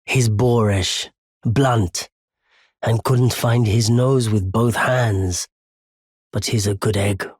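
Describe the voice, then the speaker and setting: An actor playing a weary, courteous nomadic merchant in a video game, husky and breathy, with a measured, wry and understated manner. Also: male voice, fairly low pitch, steady tone, darkish tone, no room sound, studio quality. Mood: wry, friendly. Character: weary, courteous nomadic merchant man with a quietly unforgiving streak. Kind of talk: video game character dialogue.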